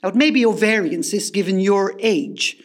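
A woman's voice: mid-range voice, moderate pace, lightly acted. A woman playing a frank, methodical hospital doctor in a radio play, formal and clinical in manner. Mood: clinical, businesslike. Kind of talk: comedy radio play acting.

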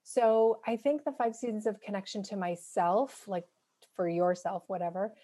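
A woman's voice: mid-range voice, steady tone, moderate pace, warm tone. A woman in her late forties, speaking as a guest author in a casual, reflective and candid conversational way. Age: late 40s.

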